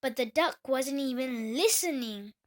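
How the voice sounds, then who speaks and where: A woman reading a children's story aloud as the storyteller, with an expressive delivery. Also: high-pitched, unhurried.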